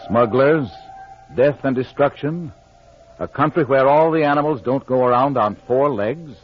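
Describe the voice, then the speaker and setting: A man's voice: mid-range voice, moderate pace, soft background music. A man announcing a radio serial in a dramatic 1940s style. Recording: dull, muffled old-time radio recording.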